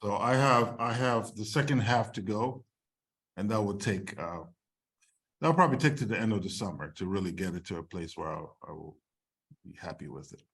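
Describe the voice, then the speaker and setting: A man's voice: lowish voice, animated, moderate pace, creaky, a bit bright, husky. A man speaking as a screenwriter and filmmaker, answering questions informally on an online video call.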